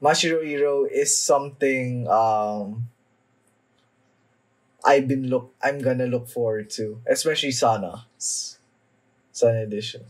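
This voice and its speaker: Husky, slow-talking young man. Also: mid-range voice, poor audio. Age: young adult. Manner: halting, trailing off mid-thought. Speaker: anime reaction vlogger. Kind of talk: casual off-the-cuff reaction commentary. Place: small home room, indoors.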